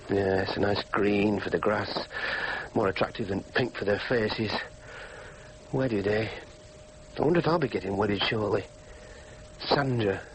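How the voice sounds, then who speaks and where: A high, very changeable young male voice with a Yorkshire accent. He is a sullen working-class dreamer in a drama, argumentative and full of self-doubt, over faint background noise. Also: fairly bright tone, low-quality recording. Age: young adult. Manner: argumentative, brooding. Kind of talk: naturalistic drama acting with monologue.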